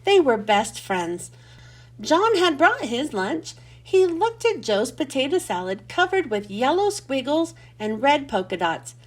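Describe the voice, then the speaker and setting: Female, very high voice, lively swooping intonation, children's read-aloud host, expressive storytelling. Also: measured pace.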